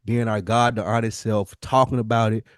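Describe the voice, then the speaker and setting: Male podcast co-host, lower register, slangy and candid, very dry sound. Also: level tone, moderate pace, dry recording. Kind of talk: casual conversational podcast chat.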